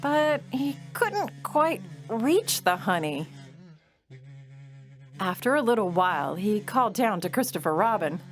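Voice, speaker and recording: A woman narrating a children's storybook drama in an expressive, deliberate storytelling manner. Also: mid-range voice, soft background music.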